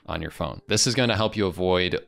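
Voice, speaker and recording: A man in his twenties with an American accent, presenting a casual software demo into a close desk microphone in a small home studio. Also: sits low, fairly quick, vocal fry, faint music behind. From United States. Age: 20s. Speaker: presenter of software review videos. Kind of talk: casual product demo narration.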